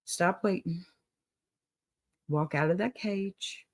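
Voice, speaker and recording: A woman's voice: lowish voice, slow. A middle-aged woman with a Texas accent hosting a livestream advice show, talking in a folksy, motherly way to her viewers. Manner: folksy and motherly, calls listeners honey and y'all. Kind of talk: warm encouraging livestream advice talk.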